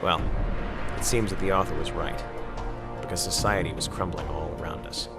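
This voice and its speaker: A man playing a sombre war chronicler, giving measured, dramatic narration for a video game cutscene. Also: deep voice, fairly even intonation, vocal fry. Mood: sombre, reflective. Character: sombre male war correspondent recounting a grim war. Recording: loud music under narration.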